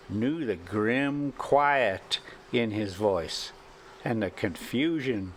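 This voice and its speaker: An older man reading a classic short story aloud as an amateur storyteller, in halting, broken phrases. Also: medium pitch, slight background noise. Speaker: storyteller and channel host.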